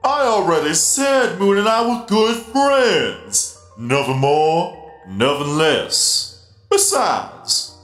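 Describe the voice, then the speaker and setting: Performer as polite animatronic DJ, slow and theatrical, very animated intonation, echoey sound. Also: medium pitch. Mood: flustered, defensive. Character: friendly, flustered music-loving animatronic DJ robot. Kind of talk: comic dub acting. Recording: echoey, faint music behind.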